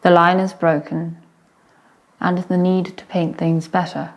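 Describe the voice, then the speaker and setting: A woman recites poetry in a slightly low voice. Her delivery is very slow and measured, breaking the text into short phrases. She leaves deliberate pauses between the fragments. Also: little pitch movement.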